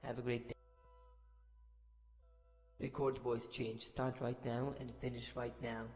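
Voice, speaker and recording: A man's voice: medium pitch, level tone, takes it slowly, soft background music, voice pushed down. A man voicing short test phrases as a male figure through an AI voice changer. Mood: flat. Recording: long hall-like reverb.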